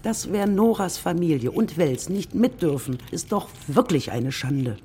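Feisty, low-voiced old grandmother. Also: expressive, a little slow, mellow, faint music behind. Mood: wry, indignant. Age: older. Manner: wry, indignant, colloquial. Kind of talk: children's radio play acting.

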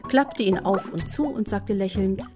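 A woman's voice: mid-range voice, a little slow, music in the background. A woman narrating a children's radio play in a scripted storybook style.

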